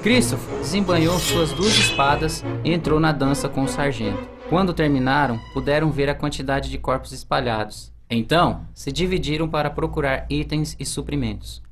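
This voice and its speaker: A man's voice: on the high side, normal speed, few pauses, a bit bright. Young Brazilian man narrating over music. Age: young adult. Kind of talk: scripted radio drama narration.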